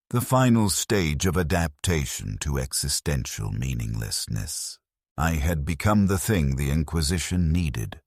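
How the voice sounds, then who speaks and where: A man narrating a first-person story in a measured, grave and detached way. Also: extremely low pitch, a little mellow, husky, no echo, studio quality.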